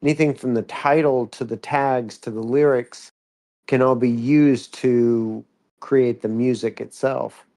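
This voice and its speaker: Male commentator, average pitch. Casual but analytical, thoughtful explainer. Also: steady tone, unhurried, dark tone. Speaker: co-commentator giving music feedback.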